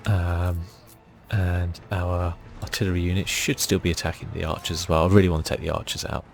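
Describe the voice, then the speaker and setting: A man giving soft-spoken ASMR commentary as he plays a game, thinking aloud in a relaxed way. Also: deep voice, fairly quick, creaky, a little hiss or hum. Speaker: gaming video host.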